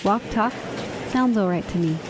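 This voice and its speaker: A woman's voice: mid-range voice, normal speed, close to an everyday voice. A woman playing a kind, sensible young sweetheart with a wounded heart in a radio drama. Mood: friendly, practical. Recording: clear background noise.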